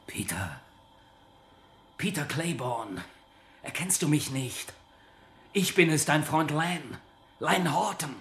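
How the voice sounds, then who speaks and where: A man's voice: on the high side, animated, takes it slowly, long pauses, fairly bright tone, heavily acted. Desperate, pleading man in an echoey room. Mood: weak, faint. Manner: imploring, tearful, breaking voice. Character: desperate, pleading male prisoner, frightened family man. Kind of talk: radio drama acting. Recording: echoey interrogation room sound.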